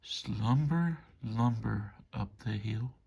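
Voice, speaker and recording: A man reading a children's bedtime story aloud in measured, rhythmic rhyme. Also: on the low side, gravelly, mellow, breathy edge. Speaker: storybook reader.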